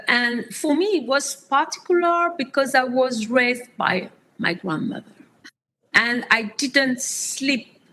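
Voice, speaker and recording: Slow, halting woman in her fifties. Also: a little high, fairly bright tone, poor audio. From France. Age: 50s. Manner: slow, halting speech with frequent fillers and restarts. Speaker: interview guest. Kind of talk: personal storytelling interview.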